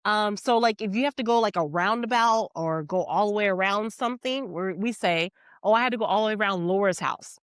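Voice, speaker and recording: A woman with a Texan accent chatting casually as a podcast co-host and telling stories in an animated way. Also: medium pitch, fairly quick, dry recording, studio quality. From Texas, United States. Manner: animated storytelling, quoting people in dialogue. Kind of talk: casual chat.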